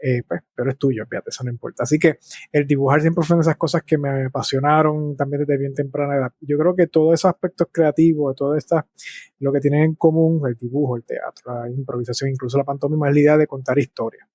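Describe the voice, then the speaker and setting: A man's voice: on the high side, fairly even intonation, fast, darkish tone, no echo. A man from Puerto Rico with a Puerto Rican accent, talking reflectively and conversationally as an interview guest. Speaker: interview guest, speaker and artist.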